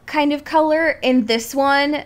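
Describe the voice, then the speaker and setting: A woman's voice: high voice, unhurried. A woman co-hosting a podcast, explaining things in casual, informal chat with some swearing. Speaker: podcast co-host. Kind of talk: casual film discussion chat.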